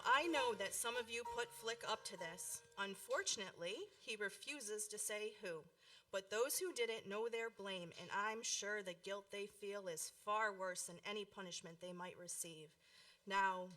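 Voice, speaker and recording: A woman playing a prim, earnest schoolteacher with a deliberate, scolding manner in a radio play. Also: medium pitch, sharp tone, recorded from a distance, lightly acted. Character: earnest, exasperated female grade-school teacher.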